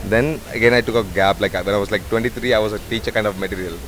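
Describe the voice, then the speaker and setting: A man's voice: on the low side, steady tone, fast. A man, a head bartender talking in a rambling way with many fillers. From Sri Lanka. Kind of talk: casual interview. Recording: noisy bar ambience.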